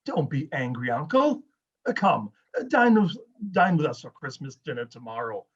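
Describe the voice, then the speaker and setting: A man's voice: mid-range voice, big pitch swings, moderate pace, breathy edge. A man playing a cheerful, good-hearted nephew in an amateur dramatic reading, earnest and friendly. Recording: dull lo-fi video-call audio.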